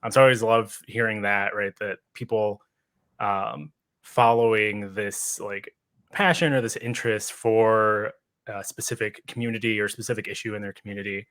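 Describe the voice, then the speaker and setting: A man's voice: lowish voice, steady tone, normal speed, a bit bright. A man hosting a podcast, interviewing a guest in a thoughtful, conversational way with tentative phrasing. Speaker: podcast host.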